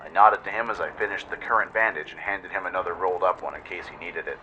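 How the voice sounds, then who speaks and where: A man's voice: deep voice, fairly even intonation, fast, pushed and bright, muffled recording, a little hiss or hum. A man narrating a scripted dramatic first-person story in radio-play style, with an intense, theatrical delivery.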